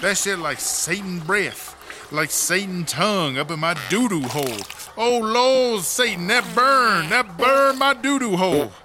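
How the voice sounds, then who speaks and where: Brash, high-pitched streetwise woman inmate. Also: expressive, measured pace, noisy background. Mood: agonized. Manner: slangy, melodramatic, grumbling. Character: Chartreuse, brash streetwise female prison inmate, foul-mouthed, dramatic. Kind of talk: comedy audio drama acting.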